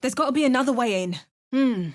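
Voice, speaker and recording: A wry young adventurer heroine. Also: female voice, high voice, lively intonation, fast. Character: wry adventurer heroine, young woman, tough and dry-witted. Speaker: player character voice. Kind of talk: video game voice lines.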